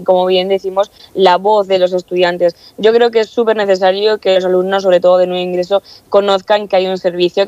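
A woman in her early twenties with an accent from Spain speaks conversationally as an interviewee. Her voice sits at a medium pitch and her delivery is earnest and emphatic. The recording is dry and a little muffled. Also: darkish tone, no room sound. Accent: Spanish from Spain. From Castilla-La Mancha, Spain. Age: early 20s. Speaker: student council president being interviewed.

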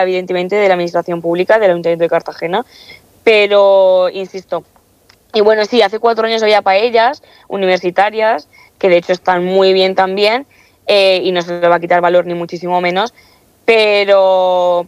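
A woman's voice: lowish voice, clear, resonant tone, no room sound. A young woman from Castilla-La Mancha, a student council member, answering interview questions in a chatty way with many fillers and restarts. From Castilla-La Mancha, Spain. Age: young adult. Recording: dull lo-fi dry sound.

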